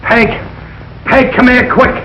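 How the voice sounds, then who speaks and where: A man's voice: very high voice, level tone, slow, bright, forceful tone, heavily acted. An actor playing a blustering, suspicious middle-aged working-class father in a 1940s radio situation comedy, exclamatory and emphatic. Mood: agitated. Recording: dull, noisy 1940s radio recording.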